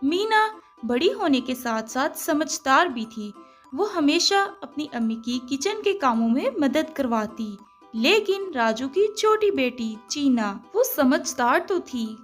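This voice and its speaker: A high-voiced woman narrates a children's moral tale in scripted storybook style. Music plays behind her as she tells it. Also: sing-song, measured pace.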